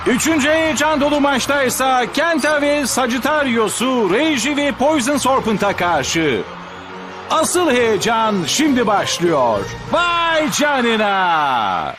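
Very high-pitched, excitable cartoon announcer. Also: male voice, over music, heavily acted. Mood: excited. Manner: dramatic drawn-out calls. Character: excitable male tournament announcer, loud and theatrical. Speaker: match announcer. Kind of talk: dubbed cartoon arena commentary.

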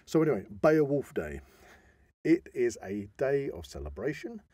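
A man's voice: medium pitch, very animated, normal speed, warm tone, husky, no room sound. A man co-hosting a podcast in casual chat, talking animatedly and emphatically with rambling asides. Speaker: podcast co-host. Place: podcast studio.

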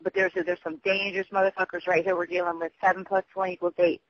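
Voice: mid-range voice, little pitch movement, quick pace. A person leaving a rambling, urgent voicemail over the phone, repetitive, emphatic and prone to swearing. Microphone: telephone. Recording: muffled, dull phone voicemail.

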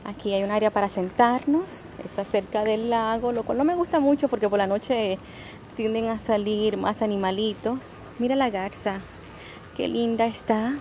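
A woman's voice: on the high side, moderate pace. A young woman from the Dominican Republic chatting casually through a camping vlog, upbeat and full of fillers, filmed on a handheld camera outdoors. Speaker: vlogger. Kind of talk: casual travel vlog narration. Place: outdoors at a campground and pool. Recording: loud ambient noise and crowd.

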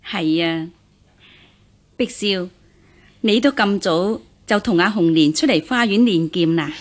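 An actress playing a stern but caring middle-aged mother in a historical radio drama, measured and dignified, with a Hong Kong Cantonese accent. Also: on the low side. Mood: measured, admonishing. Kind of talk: radio drama acting in historical costume piece.

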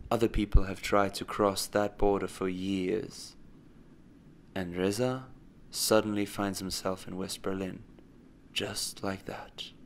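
A man narrating a scripted story in a measured, solemn way. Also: low voice, vocal fry. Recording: faint noise and ambience behind.

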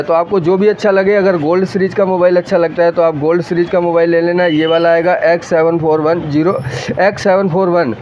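A man in his thirties casually presenting a product to viewers, explaining it in a repetitive, salesman-like way. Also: sits high. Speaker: host of a phone unboxing channel. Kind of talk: casual product demonstration to camera. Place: small mobile phone shop, indoors. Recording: muffled lo-fi audio with background noise.

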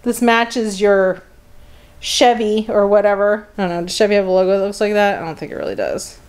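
A woman's voice: mid-range voice, lively intonation, brisk, darkish tone. A woman giving casual, sardonic running commentary and reading printed copy aloud in a conversational way. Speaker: host of a catalog flip-through series.

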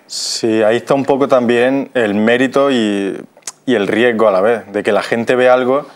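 A man's voice: mid-range voice, fairly even intonation, normal speed. A man, talking as a guest in a studio interview. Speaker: radio theatre member, guest. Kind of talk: interview talk.

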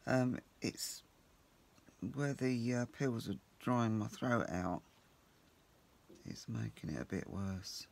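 A man with a croaky voice, chatting casually to himself while he works at a home craft table as host of his crafting channel. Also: mid-range voice, expressive, takes it slowly, long pauses, darkish tone.

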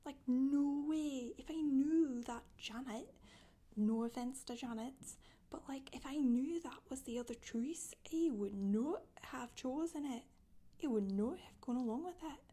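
Soft-spoken Scottish witch, female performer, chatty ASMR storyteller, higher-pitched but husky-breathy, deadpan. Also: unhurried, pauses often. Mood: mildly put out. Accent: put-on Scottish. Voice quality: breathy, whispery. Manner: hesitant, colloquial, deadpan. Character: chatty, casual Scottish witch recounting her misdeeds.

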